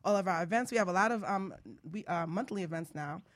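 A woman's voice: mid-range voice, quick pace. A woman hosting a talk show, chatting casually and telling stories in a conversational way. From Bronx, New York. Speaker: radio show host. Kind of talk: casual chat and storytelling.

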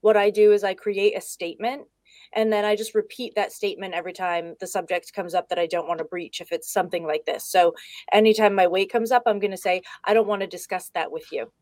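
A woman's voice: mid-range voice, fairly even intonation, fairly quick, a little mellow, no room sound. A woman speaking as a guest expert on a video call, casual, candid and chatty. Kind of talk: casual conversation.

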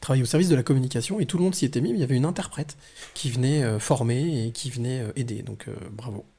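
A man hosting a casual podcast, chiming in with quick questions and short reactions. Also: medium pitch, dark tone, slightly rough. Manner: quick, eager, chimes in often with short reactions. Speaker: podcast host. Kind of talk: casual radio-style chat.

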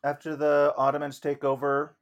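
Joking man, fairly high voice. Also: completely flat delivery, normal speed, dull audio. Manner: loose, joking, swears casually. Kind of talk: casual discussion of history and a play.

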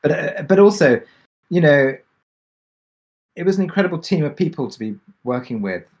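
A man's voice: on the high side, animated, normal speed, a little mellow. A man answering casually and reflectively as a drama creator on a panel, hesitant and thinking aloud.

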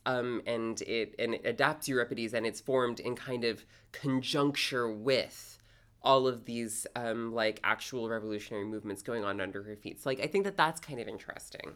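Halting, thoughtful woman with a smooth voice. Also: rumbling low. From Canada. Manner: halting, thoughtful, heavy use of "like" and "um". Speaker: interviewee, poet and graduate student. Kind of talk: casual interview talk.

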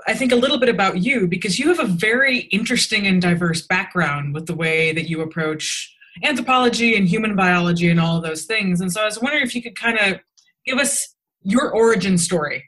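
A man's voice: high-pitched, pushed and bright, rough recording. A male host asking a guest a friendly, slightly hesitant, rambling question. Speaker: podcast host. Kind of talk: conversational interview question.

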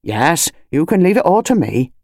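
Lady doing a village bobby in an audiobook, gruff n halting, officious but bows to his betters, mellow, up n down a lot. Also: a little high, very animated, no room sound, clean studio sound. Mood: deferential, official. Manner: halting, officious, repeats himself. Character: village police constable, gruff then deferential to his betters. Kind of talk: audiobook character dialogue.

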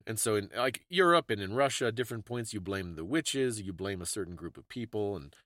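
Male podcast co-host, lowish voice, bookish and explanatory, conversational delivery, close dry sound. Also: animated, brisk, a bit bright, dry recording. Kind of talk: casual conversational history discussion.

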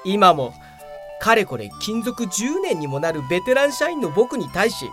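A woman's voice: medium pitch, very animated, unhurried. A woman playing a hapless, grumbling veteran male office worker who narrates his own comic audio drama in a whiny, self-important way. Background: prominent background music.

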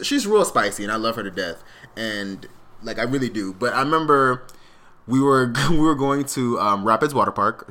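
A man of Jamaican family telling stories in a casual podcast chat, laughing often as he talks. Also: lowish voice. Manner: animated, laughs often mid-sentence.

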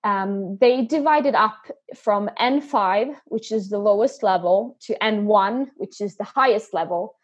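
A woman's voice: medium pitch, a little slow, hardly any pauses, husky. A woman guest in a podcast interview, talking earnestly and often repeating words as she starts a thought. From Sweden and England. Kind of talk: conversational interview.

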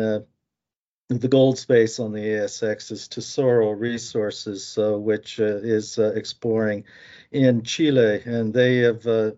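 A man with an American accent giving market commentary, halting often with fillers. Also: lowish voice, mellow, highs cut off.

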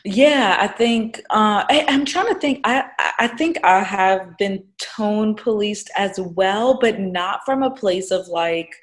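A woman's voice: mid-range voice, slow pace, few pauses. A woman in casual conversation, thoughtful and hesitant, with many fillers.

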